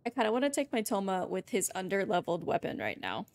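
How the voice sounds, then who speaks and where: A woman's voice: mid-range voice, huge pitch swings, quick pace. A young woman doing casual live gameplay commentary as a streamer, wry, rambling and playful. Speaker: game streamer. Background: game music.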